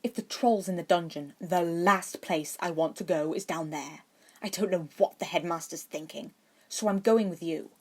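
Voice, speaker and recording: A woman's voice: lowish voice, moderate pace, a little mellow. A privileged young wizard boy, played by a woman reading aloud, haughty and snide. He has a flippant way of talking, suited to a fantasy storybook.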